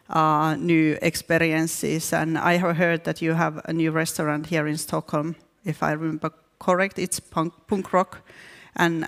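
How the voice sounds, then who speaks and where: Finnish woman with a flat, gravelly voice. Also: sits low. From Finland. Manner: hesitant, with frequent restarts and fillers. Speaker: keynote speaker. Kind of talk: conference presentation. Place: conference hall. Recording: reverberant conference hall.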